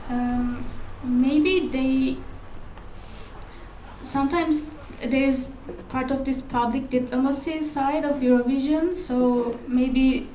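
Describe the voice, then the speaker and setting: An echoey recording of a woman with a Turkish accent on an academic panel. Her voice is fairly high and she speaks slowly, haltingly feeling for each phrase in a second language. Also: fairly even intonation, warm tone. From Turkey. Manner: hesitant, halting, searching for words. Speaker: conference panelist, researcher. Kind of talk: panel question-and-answer. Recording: echoey room, distant mic, faint noise.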